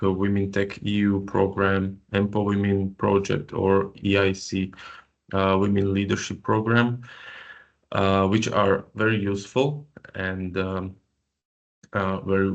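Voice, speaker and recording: A man's voice: deep voice, gravelly, muffled recording. A man from Slovakia presenting as project coordinator in an online info session, hesitant with many fillers. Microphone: video call.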